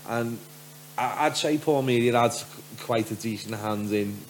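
A man from Liverpool with a softened Scouse accent and a deep voice, telling stories casually as a podcast guest. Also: unhurried, a little hiss or hum, low-quality recording. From Liverpool, England. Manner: rambling storyteller, frequent "do you know what I mean".

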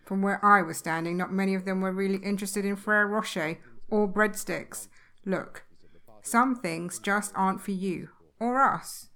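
A woman's voice: mid-range voice, measured pace, fairly bright tone. A woman acting a chatty, blunt, down-to-earth wife in a comedy audio drama, plain-spoken and chiding. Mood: exasperated.

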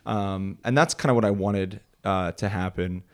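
A man in his thirties from the Philadelphia area with a Philly accent, chatting casually as a comedian guest, relaxed and halting with lots of fillers. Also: sits low. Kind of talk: casual interview chat.

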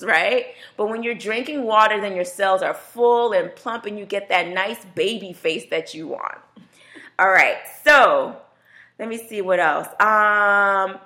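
A woman hosts a radio health show in a loose, chatty way. Her pitch sits in an ordinary middle range. She often laughs and plays out what other people say. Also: pushed and bright. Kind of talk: casual radio talk.

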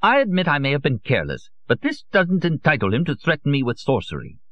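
A man voicing an angry man with a high, reedy voice. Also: wildly swooping pitch, fast, no echo, highs cut off. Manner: sharp, angry.

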